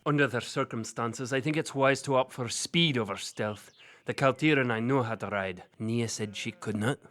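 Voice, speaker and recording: A stern fantasy general played by a man, speaking at a middle pitch. He is formal and commanding, with gruff impatience in how he gives orders. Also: moderate pace, fairly bright tone, natural voice. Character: stern, commanding, exasperated male army general. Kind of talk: fantasy audio drama acting.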